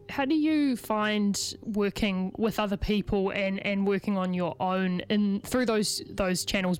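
A woman with a New Zealand accent interviewing conversationally on radio, chatty and rephrasing her questions as she goes. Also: mid-range voice.

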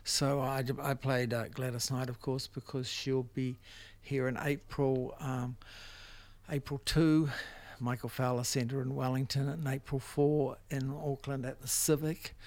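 An older man with a New Zealand accent, casually hosting a music show in a halting, rambling, unscripted way. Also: mid-range voice, flat delivery, a little mellow. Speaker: radio music show host. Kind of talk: casual unscripted radio back-announcing. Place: dry radio studio.

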